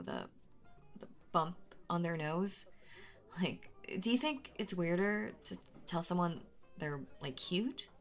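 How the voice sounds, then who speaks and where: Soft music plays behind a shy, adorkable young woman, acted by a woman in an audio roleplay. She speaks slowly with a creaky edge, stammering and second-guessing herself as she rambles. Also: low-pitched, full character voice. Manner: hesitant, stammering, nervously giggly, self-doubting. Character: very shy, anxious, rambling adorkable young female stranger in cafe. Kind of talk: intimate audio roleplay, rambling chat addressed to listener.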